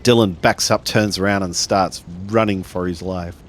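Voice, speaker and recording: A man narrating a tabletop roleplaying game in a halting way, building his sentences bit by bit. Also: low voice, fairly even intonation. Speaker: tabletop RPG player.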